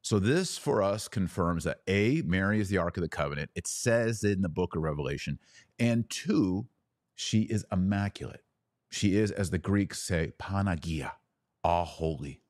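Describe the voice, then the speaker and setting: A man hosting a podcast, giving an emphatic theological teaching talk with rhetorical questions and dramatic pauses. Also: deep voice, animated, a little mellow. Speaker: podcast host.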